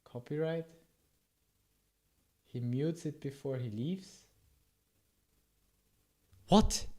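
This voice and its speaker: A man's voice: a little high, very slow, warm tone. Chatty man with a German accent. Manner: rambling, self-interrupting, answers chat with asides. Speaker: live coding streamer. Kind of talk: casual live coding stream, reading chat.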